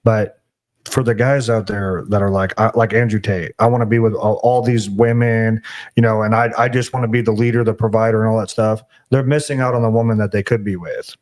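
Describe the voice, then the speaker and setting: A middle-aged man hosting a casual podcast, talking in an animated and preachy way with frequent restarts. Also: lowish voice, brisk. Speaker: podcast host. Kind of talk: casual conversation.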